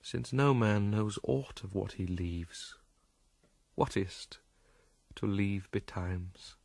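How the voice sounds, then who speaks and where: An actor playing a troubled, witty and melancholy young prince in a classical Shakespearean radio drama, speaking theatrically with a quick mind. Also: male voice, lowish voice, creaky, darkish tone, dry recording. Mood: resigned, reflective. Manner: theatrical, quick-witted, shifting between dry mockery and reflection.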